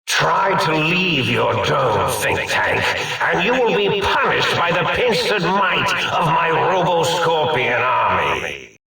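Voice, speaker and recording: An actor voicing an eccentric, absent-minded mad scientist in a video game, a comically villainous figure who rants and rambles in a theatrical, grandiose way. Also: male voice, fairly high pitch, big pitch swings, slow, gravelly, sharp tone, heavy reverb, far from the mic, heavily acted, poor audio. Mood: villainous ranting.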